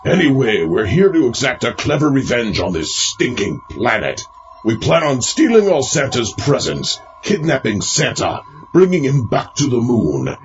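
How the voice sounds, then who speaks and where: An actor playing a bossy, irritable alien villain and eldest brother, haughty and scolding, in a comedic audio drama. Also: male voice, mid-range voice, dull audio.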